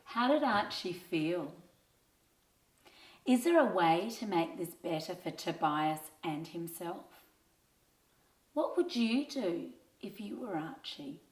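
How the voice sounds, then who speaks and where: Lady reading a kids story, lowish voice, super slow, expressive, echoey. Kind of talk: scripted children's story narration. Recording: roomy, echoing sound.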